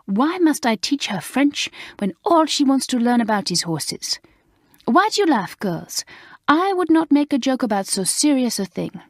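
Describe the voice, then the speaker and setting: A woman voicing an excitable French schoolmistress, with a put-on French accent, high and slow. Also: no echo, clean studio sound, heavily acted. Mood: indignant.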